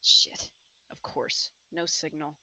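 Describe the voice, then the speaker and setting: A woman's voice: low voice, level tone, takes it slowly, bright, forceful tone, heavily acted, low-quality recording. A woman playing an uneasy, foul-mouthed young woman driver in an amateur horror table read. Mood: annoyed.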